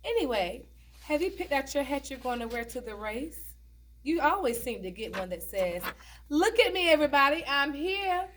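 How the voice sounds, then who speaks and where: Animated, chatty older lady visitor read by a woman. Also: high-pitched, moderate pace, slight background noise. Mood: teasing. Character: Catherine, friendly, frank older lady visitor. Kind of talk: stage play table read.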